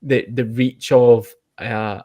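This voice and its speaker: A man's voice: medium pitch, steady tone, slow, dark tone. Male podcast host, middle register, conversational interview style, often rephrases mid-thought. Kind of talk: casual interview chat.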